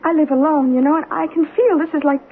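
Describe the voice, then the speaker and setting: A woman's voice: high-pitched, fairly even intonation, fast. A woman playing a nervous, wary young single woman in a 1970s radio drama, hesitant and plaintive, with slangy speech. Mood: anxious, wary. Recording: muffled, hissy vintage radio broadcast.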